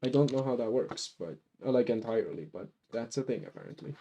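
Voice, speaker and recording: A man's voice: mid-range voice, expressive, quick pace, warm tone, a little hiss or hum. A man from Finland with a light Finnish accent, chatting casually while playing a game on a live stream, rambling with many restarts. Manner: rambling, lots of restarts and fillers.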